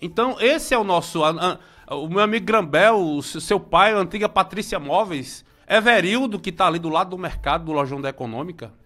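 A Brazilian man in his forties giving casual local commentary, chatty and nostalgic, with frequent restarts and shout-outs to friends. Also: high-pitched, expressive, fairly quick, faint music behind. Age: 40s.

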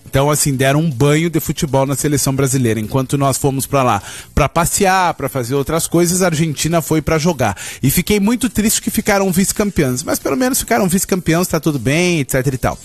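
A man's voice: a little high, animated, quick pace, few pauses. A Brazilian man hosting a radio interview, chatty and rambling, speaking from the studio. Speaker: radio host.

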